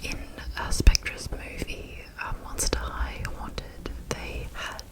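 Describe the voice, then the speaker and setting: Woman whispering casual, unscripted ASMR. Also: takes it slowly. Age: adult. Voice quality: soft unvoiced whisper. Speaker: ASMR video host.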